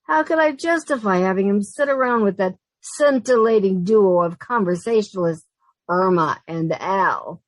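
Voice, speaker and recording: A woman's voice: medium pitch, normal speed, no room sound, natural voice, low-quality recording. A woman playing an anxious, sardonic young roommate who also narrates, in an old-time radio sitcom style. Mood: sardonic despair. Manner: wry, long-suffering, nervous.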